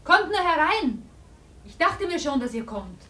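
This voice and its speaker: An actress in a German radio play playing a fearful, watchful neighbour woman, husky and often close to a whisper. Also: high-pitched, very animated, unhurried, bright, forceful tone, a little hiss or hum, heavily acted. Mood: guarded.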